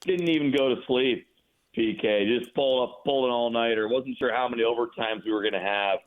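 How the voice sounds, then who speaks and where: A man giving casual sports commentary as a radio guest, halting and repeating words for emphasis over a call. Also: medium pitch. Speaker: radio play-by-play announcer, guest. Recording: thin, phone-like narrow-band line.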